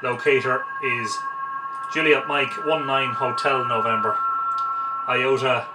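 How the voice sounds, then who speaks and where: An Irish man with a mid-pitched voice talks through what he is doing in an unhurried way, partly to himself. He sounds some distance from the camera mic in a small home room, with loud noise behind him. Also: fairly even intonation. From Ireland. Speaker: amateur radio operator demonstrating. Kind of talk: live commentary while operating a radio. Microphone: camera a couple of metres away.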